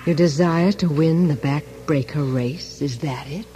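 A man's voice: fairly high pitch, measured pace, dark tone, a little hiss or hum, lightly acted. An actor playing a sly psychic shopkeeper in a radio drama, insinuating and theatrical as he bargains for souls. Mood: probing.

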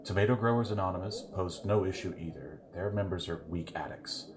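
A man's voice: low voice, fairly quick, natural voice. A man playing a formal, obsequious henchman reporting schemes to his master in an audio drama. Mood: deferential, scheming. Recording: noisy covert room recording.

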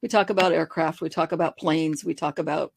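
A woman in her sixties explaining things informally and deliberately on a panel. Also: sits low, fairly even intonation, gravelly, breathy edge, no room sound, studio quality. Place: indoors, video call. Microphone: video call microphone.

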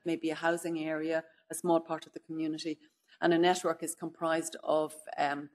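Low-voiced woman lecturing. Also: a little hiss or hum. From Ireland. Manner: measured, thoughtful, with frequent "if you like" and "um". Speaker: keynote speaker. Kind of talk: lecture to an audience. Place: conference room, indoors. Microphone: far camera, speaking at a lectern.

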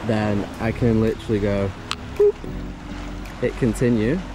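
A man's voice: fairly low pitch, a little slow, rough recording. Young guy on a beach with a clip mic, all hyped and rambly, music playing under him. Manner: eager, rambling, laughs at himself. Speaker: vlogger. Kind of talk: excited casual vlog. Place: outdoors on a windy rocky beach. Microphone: small clip-on mic.

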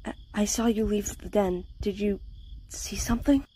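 A woman voicing an eager, earnest young forest cat in an animated comic dub, speaking with urgency. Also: medium pitch, normal speed, slight background noise, natural voice. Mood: concerned. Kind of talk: comic dub character acting.

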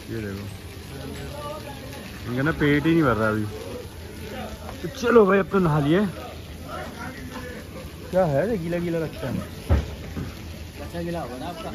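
A man's voice: on the high side, lively intonation, unhurried, pauses often, a crowd in the background, low-quality recording. A man in his forties chats casually as a travel vlogger, filming himself on a phone outdoors at a hillside camp and pointing things out to his viewers. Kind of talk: casual vlog narration. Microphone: phone camera selfie.

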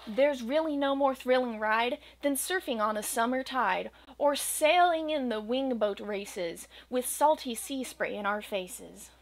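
Gentle young woman reading a storybook. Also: on the high side, unhurried, few pauses. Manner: gentle, expressive storytelling cadence. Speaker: children's book reader. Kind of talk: reading a rhyming picture book aloud. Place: small home room with bookshelves and green screen.

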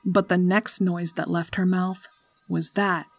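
A woman's voice: fairly low pitch, flat delivery, a little slow. A woman narrating a scripted story as its storyteller. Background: music.

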